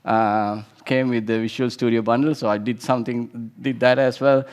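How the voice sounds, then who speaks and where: A man's voice: mid-range voice, fairly even intonation, moderate pace, darkish tone, clean tone. A man in his thirties from Sri Lanka, giving an informal conference talk with frequent restarts and fillers. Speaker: conference speaker.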